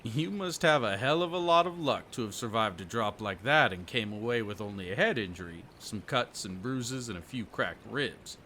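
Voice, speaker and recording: A man's voice: on the low side, expressive, moderate pace, hardly any pauses, bright, forceful tone, slight background noise. A man playing a kindly, folksy country fisherman in an audio roleplay, with rustic phrasing and a hospitable manner. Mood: amused, frank. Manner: folksy rural phrasing, dropped g's.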